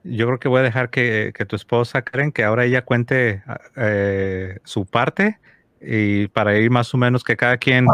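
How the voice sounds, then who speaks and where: Man, interview host, mid-pitched voice, rambling with fillers, dry close sound. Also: steady tone, moderate pace, fairly bright tone, smooth, dry recording. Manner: rambling, with filler words and restarts. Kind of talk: conversational interview.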